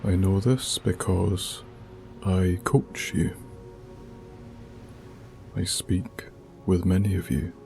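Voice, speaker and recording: A man leads an ASMR guided meditation in a soft, hushed, soothing voice, speaking deliberately with long pauses. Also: sits low, steady tone, creaky. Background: music with binaural beats.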